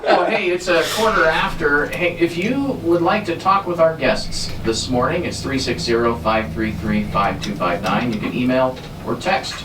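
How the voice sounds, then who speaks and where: A man's voice: medium pitch, moderate pace, hardly any pauses, a crowd in the background. An older man hosting a live local radio talk show in a studio. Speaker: radio host. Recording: roomy, distant, heavy background noise.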